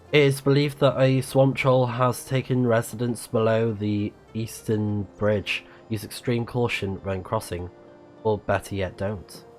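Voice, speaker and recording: Casual male game streamer over soft music. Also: medium pitch. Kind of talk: casual live playthrough commentary.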